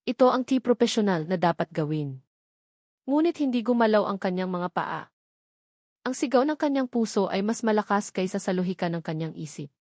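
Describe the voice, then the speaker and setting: A woman's voice: medium pitch, darkish tone, no echo, clean studio sound. A woman reading a scripted romantic drama as a story narrator. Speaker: narrator of audio love stories.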